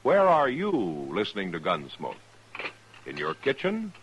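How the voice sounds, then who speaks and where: A male announcer in vintage 1950s radio style. His pitch swings dramatically as he addresses the listener. The old recording has faint noise. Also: huge pitch swings, measured pace, gravelly, a bit bright.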